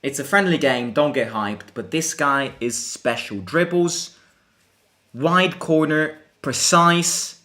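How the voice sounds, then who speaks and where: A young man from Italy hosting a live football watchalong. He chats casually, answers questions from viewers and breaks into excited reactions to the play. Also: fairly high pitch, slow pace. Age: young adult. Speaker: fan channel host.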